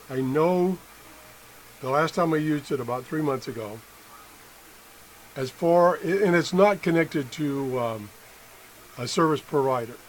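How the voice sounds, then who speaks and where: A middle-aged man with a slightly high voice talks through a hands-on demonstration at a workbench. He is halting and unscripted, often correcting himself as he thinks aloud. The indoor recording carries faint background noise. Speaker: amateur product tester and video host. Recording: noisy room with background sound.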